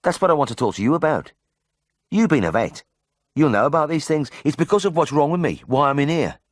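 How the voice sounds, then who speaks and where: An earnest young Birmingham lad, as performed by a male narrator in a storybook reading. He is naive and anxious, speaking bluntly and confidingly in a raised, animated voice, recorded close and dry. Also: fairly high pitch, fairly quick, no echo, pitched above the performer's own voice, lightly acted. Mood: confiding worry. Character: naive anxious young working-class lad, a hospital patient. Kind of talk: audiobook character voice.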